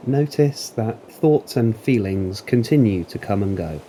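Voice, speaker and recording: A man narrating a guided meditation in a calm, deliberate, soothing manner with long pauses. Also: on the low side, slight background noise, poor audio. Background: waves.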